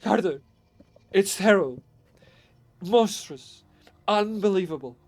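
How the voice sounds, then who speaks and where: A man voicing a terrified man who shouts shakily and frantically up from a tomb. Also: sits high, expressive, takes it slowly, pitched above the performer's own voice, full character voice. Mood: frantic, terrified.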